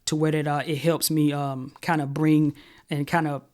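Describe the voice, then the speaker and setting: A man in his thirties reviewing tech gear to camera from a home studio, talking in a relaxed, conversational way with lots of fillers. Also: normal speed. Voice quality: self-described high-pitched voice. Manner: relaxed, conversational, many "uh" and "you know" fillers. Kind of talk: casual product review to camera. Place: home studio room with shelves and purple lights. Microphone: desk broadcast mic, later clip-on wireless mic.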